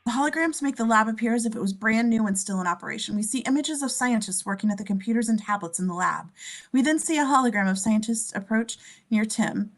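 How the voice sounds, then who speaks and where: A woman's voice: fairly high pitch, expressive, fast talker. A woman narrating as scene description reader, reading screenplay stage directions aloud at a table read.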